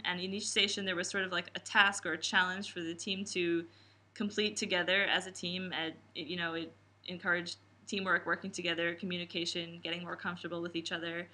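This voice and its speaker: Twenty-something camp coach lady, super bright voice, from the nj/ny area, animated when she talks. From New Jersey, New York area. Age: 20s. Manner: expressive, acts out quotes with big pitch jumps. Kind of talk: reflective interview answers. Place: indoor room with posters.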